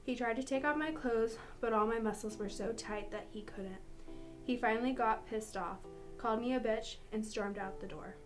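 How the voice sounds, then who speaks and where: A woman's voice: on the high side, moderate pace, soft background music. A young adult woman, a student, reading out a personal account. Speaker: student reader.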